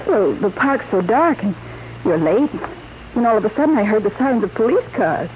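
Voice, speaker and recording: A woman's voice: mid-range voice, big pitch swings, normal speed, natural voice. An actress playing a timid, cute blonde girlfriend in a girlish 1940s radio style. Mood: anxious. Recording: tinny, noisy 1940s radio recording.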